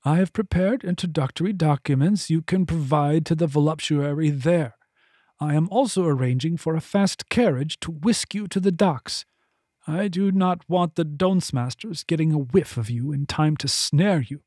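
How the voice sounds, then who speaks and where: A man's voice: fairly high pitch, normal speed, mellow, breathy edge, no room sound, studio quality. A man voicing an authoritative noble figure who speaks formally and with measure.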